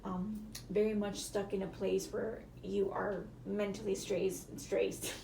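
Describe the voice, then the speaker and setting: A woman with a hoarse, cracking voice, giving a casual tarot reading to viewers and often apologizing. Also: medium pitch, flat delivery, slow pace, dark tone, echoey room, a little hiss or hum, rough recording. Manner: chatty, apologetic, hedging with fillers. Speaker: tarot reader.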